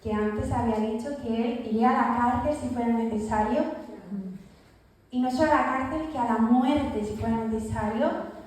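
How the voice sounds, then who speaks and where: Woman, preacher, high voice, sermon, storytelling, echoey church, distant mic. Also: moderate pace, a little mellow. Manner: storytelling, acting out quoted lines. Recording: echoey church hall, voice from far off.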